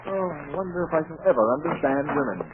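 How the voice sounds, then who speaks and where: An actor playing a prim, bashful professor who talks formally and hesitantly, in a 1940s radio comedy on a noisy old recording. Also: male voice, fairly high pitch, lively intonation, fast talker, phone-quality sound, lightly acted. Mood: flustered.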